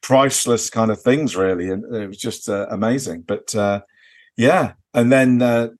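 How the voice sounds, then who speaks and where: Male podcast co-host, lowish register. Loose, off-the-cuff talk with a halting, meandering delivery. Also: a little mellow. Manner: hesitant, rambling delivery full of "you know" and "kind of". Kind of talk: casual off-the-record chat, recounting a convention visit.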